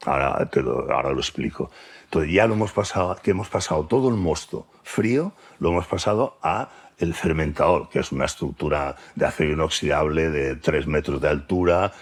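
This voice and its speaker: A man's voice: a bass voice, lively intonation, brisk. A man with an accent from Spain, giving an unscripted expert explanation in a didactic way, often checking that he is understood. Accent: Spanish from Spain. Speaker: science expert explaining brewing.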